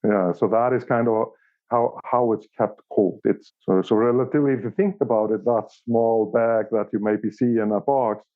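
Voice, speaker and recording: A man from Iceland with an Icelandic accent, answering casually as an interview guest in a halting way, repeating words as he searches for phrasing. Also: fairly low pitch, fairly even intonation. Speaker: interview guest, company operations director. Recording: thin phone-like call audio.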